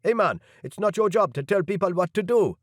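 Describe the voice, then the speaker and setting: A man's voice: high voice, lively intonation, fairly quick, no room sound, pitched above the performer's own voice. A man voicing a blustering male guard leader who tries to look tough, speaking from a dry, close recording. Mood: challenging.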